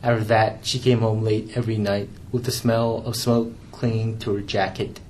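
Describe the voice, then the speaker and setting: A young man reading aloud from his own memoir, in a measured, restrained way with the odd stumble. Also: lowish voice, flat delivery, a little mellow, a little hiss or hum, low-quality recording. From Canada.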